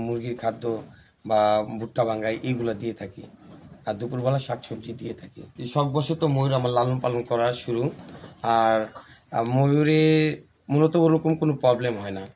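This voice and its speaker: Male Bangladeshi farmer interviewee, monotone mid-range delivery, slight location noise. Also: mid-range voice, brisk. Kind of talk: plain interview account. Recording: faint ambient location noise.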